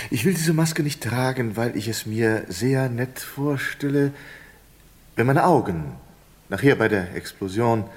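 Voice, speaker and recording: An actor in a German crime radio play playing an educated foreign prisoner who is sardonic, polite and mockingly calm. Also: male voice, medium pitch. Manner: silky, sardonic, drawn-out politeness.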